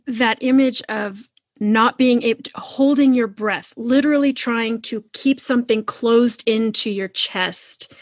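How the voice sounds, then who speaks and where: A woman podcast host talking conversationally about books in an earnest, expressive manner. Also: a little high, no echo, studio quality. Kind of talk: conversational book discussion.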